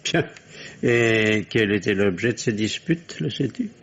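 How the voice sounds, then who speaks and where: A man's voice: fairly low pitch, animated, a little slow, fairly bright tone, breathy edge, full character voice. An actor playing a nervous, sarcastic middle-aged family man in a French radio drama, hesitant and swinging between obsequious and indignant. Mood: tender, probing. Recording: dull, noisy old radio recording.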